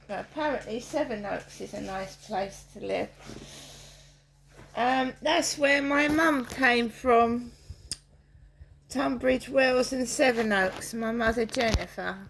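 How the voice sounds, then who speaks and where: A middle-aged woman talks in an unhurried, wandering way, trailing off and starting over with plenty of ums. Her voice carries a roomy echo, with a faint hum underneath. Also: on the high side, creaky. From South East London. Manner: slow, meandering, with frequent ums and restarts. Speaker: vlogger. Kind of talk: casual rambling vlog monologue. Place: outdoors on a walk with a dog.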